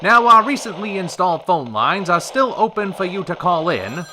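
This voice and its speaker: Man playing a bright, punchy 1930s radio host. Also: high voice, big pitch swings, moderate pace, slight background noise, heavily acted. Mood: showy, polished. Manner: theatrical announcer delivery. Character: brisk showy 1930s radio show host. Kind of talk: 1930s radio broadcast acting.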